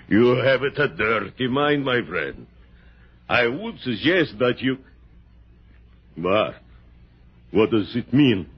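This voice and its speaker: Husky, slow, formal Russian man. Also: medium pitch, very animated, exaggerated voice. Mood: wary, courteous. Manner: slow, formal, stilted phrasing. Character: genial Russian man, doomed, wary of a gunman. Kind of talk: 1960 radio drama acting. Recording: dull vintage radio recording.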